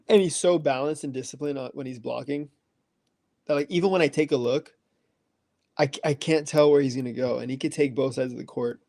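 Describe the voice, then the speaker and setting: Gravelly-voiced young man, dry close sound. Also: medium pitch, a little mellow, no room sound. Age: 20s. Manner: loose, rambling, filler-heavy. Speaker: livestream host answering chat. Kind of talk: casual chat.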